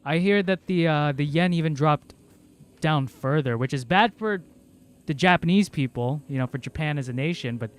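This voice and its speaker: A man's voice: a little high, normal speed. A male game streamer, a native Cebuano speaker, chatting casually and rambling with lots of fillers. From Philippines. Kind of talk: casual livestream chat.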